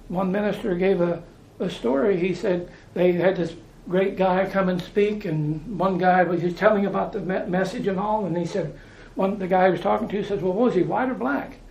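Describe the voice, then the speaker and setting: A man's voice: high voice, moderate pace, gravelly, darkish tone, roomy sound, poor audio. An American man preaching informally, talking in a conversational way, repeating phrases and restarting his sentences. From United States.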